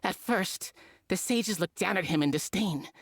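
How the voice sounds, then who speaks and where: A woman's voice: fairly low pitch, animated, measured pace, husky, no room sound, studio quality, full character voice. Earnest female scholar for a fantasy game, troubled, expository delivery. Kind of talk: video game voice acting.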